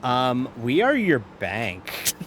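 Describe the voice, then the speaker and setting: A man's voice: mid-range voice, wildly swooping pitch, a bit bright, slight background noise. A man streaming a sim race, chatting casually and rambling between driving calls, often restarting his sentences. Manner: rambling, self-interrupting, quick to laugh. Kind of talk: casual live-stream chat while racing.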